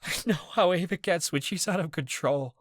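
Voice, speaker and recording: Sobbing, enraged young man, voiced by a male narrator; pitched high, strained, breaking. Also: normal speed, no room sound, studio quality, close to an everyday voice. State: crying. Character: young man, grief-stricken, sobbing and furious.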